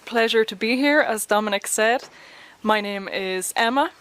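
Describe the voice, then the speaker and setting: A woman's voice: fairly high pitch, moderate pace, a bit bright. A woman with an Irish accent, answering interview questions in a direct, confident way. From Ireland. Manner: direct, confident, advice-giving. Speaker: guest.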